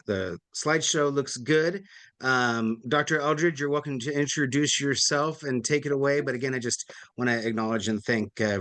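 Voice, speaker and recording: A man's voice: lowish voice, fairly bright tone, no echo. A man hosting a community meeting, introducing a speaker in a casual, appreciative way with frequent fillers. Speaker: meeting host.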